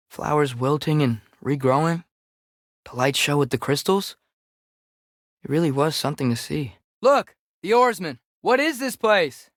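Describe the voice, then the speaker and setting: A man's voice: a little high, a bit bright, no room sound, clean studio sound. A young male actor playing an earnest, sensitive American teenage boy adventurer in a video game, speaking with a questioning, slightly hesitant manner. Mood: subdued, reflective. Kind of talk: video game character dialogue.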